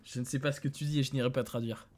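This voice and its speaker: A man's voice: mid-range voice, fairly even intonation, rapid-fire, a little mellow. A young man from France streaming from a dim room at home, thinking aloud in casual commentary, chuckling and talking to his chat.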